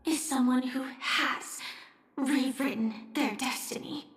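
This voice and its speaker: A woman playing a resolute young game heroine who defies fate, speaking quietly, deliberately and earnestly. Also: sits high, steady tone. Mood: quietly defiant. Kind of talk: dramatic video game voice acting. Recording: echoey, distant, music underneath. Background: game music.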